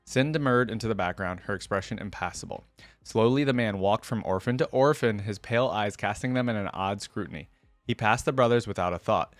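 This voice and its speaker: A man with a fairly low voice reads fiction aloud as a video host. He moves between expressive narration and casual commentary, in a very dry recording. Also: fairly quick, no echo, soft background music. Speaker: reaction video host reading a story aloud. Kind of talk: expressive story reading with casual asides.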